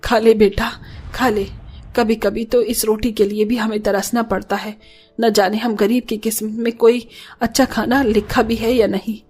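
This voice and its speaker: A woman voicing a poor, worried, gentle mother in a cartoon story, earnest and plaintive. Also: fairly high pitch, little pitch movement, normal speed, slightly rough, soft background music. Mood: despairing.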